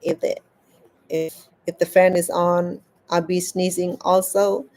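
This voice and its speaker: Woman telling a story haltingly. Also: fairly low pitch, little pitch movement, darkish tone, low-quality recording. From Cambodia. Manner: halting, repeats words as she searches for them. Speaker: crochet vlogger. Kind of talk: casual storytelling while crafting.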